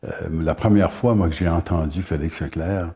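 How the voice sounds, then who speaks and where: Deep, mellow older Québécois man. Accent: Québécois French. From Gaspé Peninsula, Quebec. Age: 70s. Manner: unhurried, reminiscing, many hesitations. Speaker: singer-songwriter guest. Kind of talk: casual interview chat.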